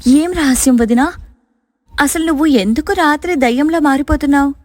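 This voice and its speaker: Actress as anxious kid sister in a storybook drama: urgent, insistent, high, mellow tone, dry sound. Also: female voice, moderate pace, no echo, in a large hall, close to an everyday voice. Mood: alarmed. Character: anxious young sister-in-law, urgent and suspicious.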